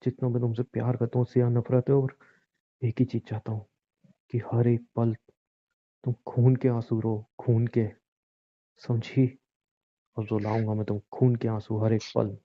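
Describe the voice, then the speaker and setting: A man's voice: mid-range voice, flat delivery, moderate pace, long pauses, lightly acted. A man playing a distraught, bitter husband who pleads and threatens in an emotional audio drama. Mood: bitter, hurt. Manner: repetitive, brooding, pleading and threatening. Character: distraught, menacing husband, bitter and self-pitying. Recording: muffled phone-like narrowband audio.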